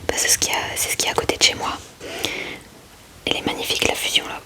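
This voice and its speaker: A woman whispers a gentle, hesitant, unhurried ASMR commentary close to the microphone as she hosts. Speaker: ASMR host showing a card collection.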